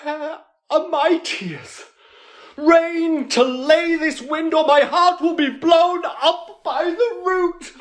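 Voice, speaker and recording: Fussy, gushing, very slow high-voiced meddler. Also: female voice. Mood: melodramatic weeping. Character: Pandarus, fussing, meddling, sentimental older relative of the lovers. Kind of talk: classical audio drama acting.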